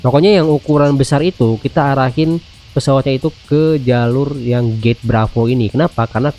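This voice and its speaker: A man's voice: medium pitch, brisk, dark tone, soft background music. A man in his thirties with an Indonesian accent, giving chatty, informal gameplay commentary with some English radio-style phrases. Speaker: gaming video host. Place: indoors at a computer, music behind.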